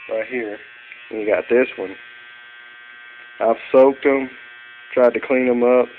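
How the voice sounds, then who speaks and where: A man talking casually and plainly as he shows engine parts, like an amateur mechanic filming on a phone in his garage. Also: medium pitch. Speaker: amateur car mechanic vlogger. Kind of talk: casual show-and-tell narration of engine parts. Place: cluttered garage workshop. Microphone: handheld phone behind the camera. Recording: tinny phone-like sound with electrical hum.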